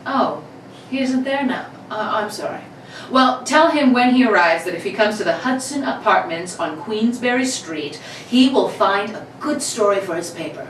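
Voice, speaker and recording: A woman's voice: mid-range voice, expressive, moderate pace, lightly acted. A woman playing a gleeful, cocky male robber in an old-time radio play reading, mock-polite and theatrical. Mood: gloating, playful. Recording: echoey live room, distant mic, faint noise.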